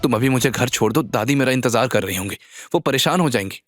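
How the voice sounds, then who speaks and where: A man's voice: mid-range voice, animated, quick pace, faint music behind, close to an everyday voice. A man voicing a frightened but firm young woman in an audio drama. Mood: desperate pleading.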